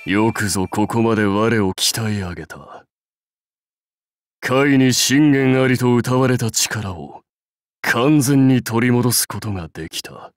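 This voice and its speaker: Proud, sweeping-voiced samurai warlord. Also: male voice, mid-range voice, expressive, a little slow, no echo, studio quality. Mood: solemn pride. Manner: grand, archaic, declamatory warrior speech. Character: proud, battle-hungry samurai warlord, commanding and loyal. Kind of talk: anime game voice lines.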